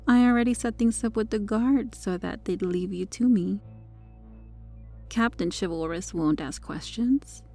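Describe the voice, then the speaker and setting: A smug, possessive superheroine played by a woman speaks in a mellow voice with plenty of creak at the edges. She is flirtatious and condescending, teasing her captive in an intimate ASMR roleplay. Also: medium pitch, measured pace, faint music behind. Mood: smug scheming. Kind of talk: ASMR roleplay monologue addressed to the listener.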